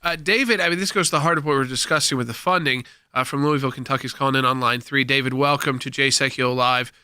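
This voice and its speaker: A man's voice: mid-range voice, fairly even intonation, fast talker, bright, forceful tone, clear, resonant tone, no room sound, clean studio sound. A man co-hosting a live call-in talk show, explaining points steadily.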